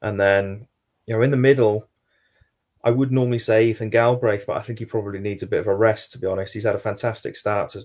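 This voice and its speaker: A man with a Geordie accent, a guest pundit talking football in a measured, thoughtful way. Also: fairly low pitch, steady tone, a little mellow. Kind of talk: casual football podcast chat.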